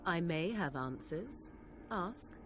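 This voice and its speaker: A woman's voice: lowish voice, animated, slow pace, music in the background. An actress playing a haughty young witch from the wilds, speaking in an arch, formal, sardonic way in a dramatic video game. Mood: arch, candid. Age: young adult.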